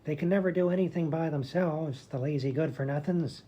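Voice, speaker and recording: A woman's voice: low voice, fairly quick, mellow, slightly rough. A woman playing a crabby, self-pitying old male hospital grump in a comedy radio sketch, blunt and dismissive. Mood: grumpy, dismissive. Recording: dull, muffled audio.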